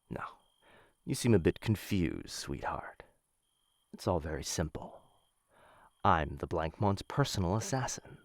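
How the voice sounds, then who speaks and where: A man playing a menacing, infatuated assassin in a boyfriend ASMR roleplay, speaking low, hushed and intimate into a close microphone, teasing and often slipping into whispers. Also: no echo, heavily acted. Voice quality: low, gravelly.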